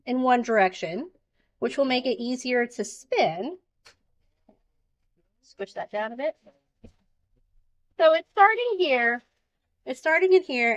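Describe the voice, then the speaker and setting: A woman in her thirties giving an informal hands-on demonstration, explaining things simply, recorded indoors on a camera a short distance away. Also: a little high. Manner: explanatory, uses simple everyday comparisons. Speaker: fiber spinning demonstrator. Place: indoor farm shop with concrete floor. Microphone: handheld camera a short distance away.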